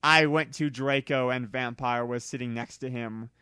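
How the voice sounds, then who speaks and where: A man reading a story aloud in a theatrical, exaggerated way, with a bright, forceful voice. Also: medium pitch, fairly even intonation, normal speed, smooth, no room sound, studio quality. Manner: theatrical, exclamatory delivery with exaggerated emphasis. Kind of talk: dramatic reading of fan fiction.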